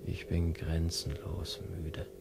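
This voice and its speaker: A man's voice: sits low, little pitch movement, slow pace, creaky, loud music behind the voice, heavily acted. An actor playing an exhausted young man who is dying and fading, in a 1950s radio play with a hissy old recording. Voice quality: weary, fading, tender.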